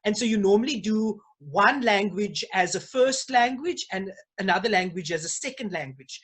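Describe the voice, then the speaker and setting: A young man, a guest in a casual video call chat, speaking deliberately and repeating words before making his point. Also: high voice, lively intonation, a bit bright, husky. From South Africa. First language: Afrikaans as mother tongue, English first language. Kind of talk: casual conversation. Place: small indoor room.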